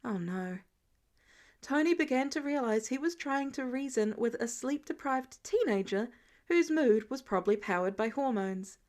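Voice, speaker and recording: High-pitched woman reading a story. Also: animated, normal speed, a little mellow. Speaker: podfic reader. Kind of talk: expressive scripted story narration.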